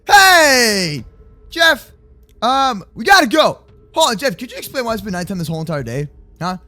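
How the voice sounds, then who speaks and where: Very high-pitched, excitable young man. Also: moderate pace. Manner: exclamatory, slangy, often shouting, playful. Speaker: host of a gaming roleplay channel. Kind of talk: excitable children's gaming roleplay commentary. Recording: faint music behind the voice. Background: faint music.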